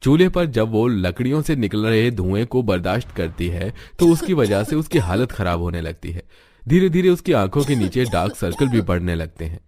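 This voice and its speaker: Low-voiced male story narrator. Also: big pitch swings, moderate pace, dark tone. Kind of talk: scripted cartoon story narration.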